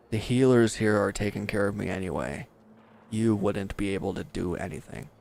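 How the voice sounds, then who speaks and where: A defeated villain played by a male actor in an audio roleplay, wounded and proud. His low voice crackles with gravel as he speaks with resentful, sardonic bite. There is some background noise on the recording. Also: darkish tone. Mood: scornful, proud. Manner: bitter, sardonic, confrontational. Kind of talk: dramatic audio roleplay monologue.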